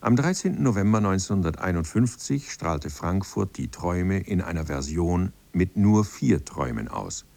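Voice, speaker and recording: Man, deep voice, mellow tone, scripted documentary narration. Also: sing-song, normal speed, vocal fry, hardly any pauses.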